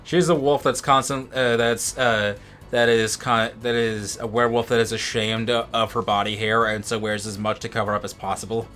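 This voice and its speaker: Man, mid-pitched voice, casual gaming chat, geeky and opinionated, sometimes profane, faint music behind. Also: level tone, normal speed, a bit bright. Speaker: co-commentator on a let's play. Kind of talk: casual chat over gameplay. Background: game music.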